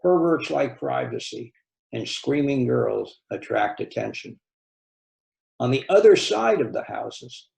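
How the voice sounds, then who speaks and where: A man's voice: medium pitch, vocal fry, warm tone, echoey room, rough recording. A man reading a novel aloud as a volunteer story reader, in scripted first-person narration.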